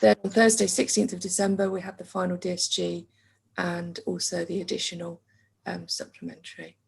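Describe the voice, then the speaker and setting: A woman with a low, gravelly voice briefs a council meeting. She holds a flat, even tone and keeps pausing on fillers as she works through each point. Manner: measured, with frequent hesitation fillers. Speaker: council finance officer.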